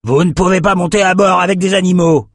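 A man's voice: sits high, quick pace, no room sound, clean studio sound, voice pushed up. A man voicing a firm, practical boat owner in a fantasy audiobook. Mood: firm, urgent.

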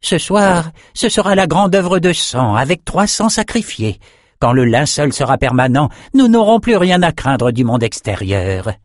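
A man's voice: on the high side, very animated, a little slow, no echo, clean studio sound, voice pushed up. A man voicing a smug, menacing male sorcerer in a fantasy audiobook, theatrical and taunting.

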